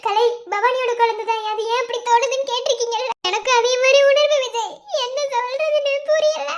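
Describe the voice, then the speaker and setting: A woman's voice: extremely high pitch, fairly quick, fairly bright tone. A woman playing an anguished young mother in a family melodrama, tearful, plaintive and full of questioning doubt. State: crying. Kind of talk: melodramatic family serial acting.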